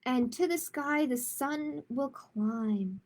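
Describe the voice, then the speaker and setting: A woman speaking as a children's storytime host, in a measured manner suited to picture books and simple chat with families. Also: high voice, animated, a little mellow. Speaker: children's librarian hosting storytime. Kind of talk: picture book read-aloud, then casual announcements.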